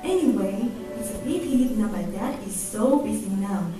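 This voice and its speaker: A woman's voice: mid-range voice, lively intonation, normal speed, natural voice. A woman playing a doctor's earnest son giving a speech in an amateur radio drama. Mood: reflective. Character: earnest son accepting an award for his doctor father. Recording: very echoey, distant, music behind.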